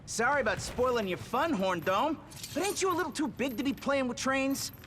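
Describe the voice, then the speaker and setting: Cocky, high-pitched turtle tough guy. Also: expressive, brisk, fairly bright tone, slight background noise. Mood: mocking. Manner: sarcastic, slangy. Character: cocky, wisecracking tough teenage mutant turtle. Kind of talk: cartoon video game acting.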